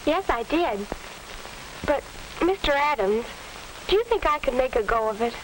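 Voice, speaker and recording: Earnest high-voiced American schoolgirl, played by an actress, 1940s film. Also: very animated, normal speed. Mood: earnest, unsure. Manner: formal, carefully phrased, polite. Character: earnest, polite teenage girl wanting to be a secretary. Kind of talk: 1940s instructional film acting, scripted. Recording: crackly 1940s film soundtrack.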